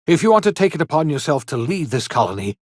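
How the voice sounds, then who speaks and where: An actor playing an eccentric elderly scientist and rebel in a video game, earnest and theatrical, with formal, old-fashioned, emphatic phrasing. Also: male voice, fairly high pitch, sing-song, fast talker, dry recording, clean studio sound. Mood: earnest, hopeful. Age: older. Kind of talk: video game character dialogue.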